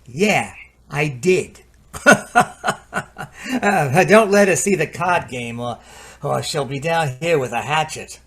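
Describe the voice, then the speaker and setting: An actor playing a chatty, excitable technician with an American accent, colloquial, in an old-time radio drama. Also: male voice, a little high, slow, long pauses, exaggerated voice. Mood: exasperated, amused. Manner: stammering when flustered, colloquial. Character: excitable working-man technician, chatty and easily alarmed.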